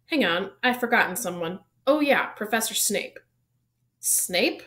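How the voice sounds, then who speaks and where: A woman's voice: medium pitch, lively intonation, unhurried, fairly bright tone. A woman reading the part of a big, friendly, proud male gamekeeper with a folksy, informal way of talking in a storybook. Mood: chatty, flattered. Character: big bearded gamekeeper, man, proud, friendly, easily flattered. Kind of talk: audiobook character reading.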